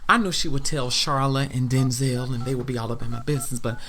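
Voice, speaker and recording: An American narrator playing a sassy, lovestruck, confident woman in a chatty first-person audio drama, expressive and full of slang. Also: mid-range voice, fast, a little hiss or hum. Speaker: narrator and lead character.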